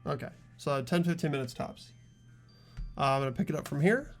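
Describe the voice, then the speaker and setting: A man hosting a group playthrough of a game, speaking in a calm, organized, matter-of-fact way. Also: a little high, fairly quick, soft background music. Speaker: host running the playthrough. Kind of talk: casual chat and reading game lines aloud.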